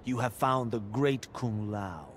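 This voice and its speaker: Slow, taunting male warrior played by an actor. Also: medium pitch, very noisy, lightly acted. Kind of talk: video game fighting banter.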